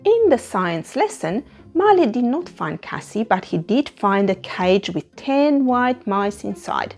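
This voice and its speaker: Unhurried, very expressive woman storyteller. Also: mid-range voice. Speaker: storyteller reading a picture book aloud. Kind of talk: animated read-aloud narration for young children. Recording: music behind the voice. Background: music.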